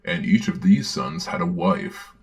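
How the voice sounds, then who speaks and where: A man with a very deep voice reads stories aloud theatrically and adds dry, sarcastic commentary. His delivery swings widely in pitch and often drops into a gravelly creak. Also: lively intonation, unhurried. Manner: theatrical, wry, sardonic. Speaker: host, storyteller. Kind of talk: dramatic reading with sarcastic commentary.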